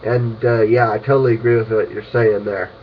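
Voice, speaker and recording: A man's voice: on the low side, little pitch movement, fairly quick. A young man in casual, agreeable chat over a two-way ham radio. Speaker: amateur radio operator. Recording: muffled radio audio, faint noise.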